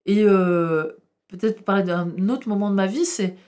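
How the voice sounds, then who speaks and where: A woman's voice: medium pitch, measured pace, darkish tone. Impassioned French woman historian. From France. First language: Arabic. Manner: impassioned, rhetorical questions, frequent hesitations. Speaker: historian guest. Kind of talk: podcast interview.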